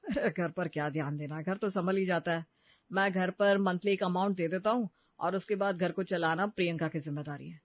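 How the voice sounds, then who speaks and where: A man's voice: high voice, brisk, no room sound, lo-fi sound. A man playing a self-absorbed, brusque, money-obsessed husband in a dramatised story. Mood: smug. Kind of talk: dramatised dialogue in an audio story.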